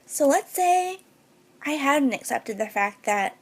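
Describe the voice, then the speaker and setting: A young woman with a high, girlish voice that sits above most women's, with a gravelly fry at the ends of her phrases. She speaks to her viewers earnestly and a little hesitantly, in a personal, heartfelt way. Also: animated, slow. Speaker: beauty vlogger. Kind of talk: personal talk to camera.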